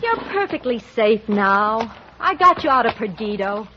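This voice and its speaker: A woman's voice: on the high side, very animated, a little slow, slight background noise. An actress playing a defiant, loyal young woman of the Old West in a 1950s radio drama, with an American accent and an emotional delivery. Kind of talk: 1950s radio western acting. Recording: dull 1950s radio recording.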